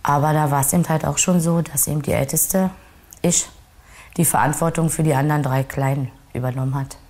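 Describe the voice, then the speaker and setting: A woman's voice: low voice, steady tone, brisk, a little mellow. A middle-aged woman in an interview, recalling her childhood in plain, rambling speech full of fillers. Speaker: interviewee, victim's sister. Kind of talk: recorded interview recollection.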